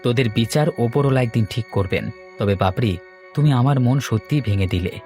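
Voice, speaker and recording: A man's voice: medium pitch, brisk, dark tone, soft background music, close to an everyday voice. A man voicing an earnest, heartbroken young lover with a reproachful manner in a cartoon. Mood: wounded, resigned. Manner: reproachful, wounded. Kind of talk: melodramatic cartoon acting.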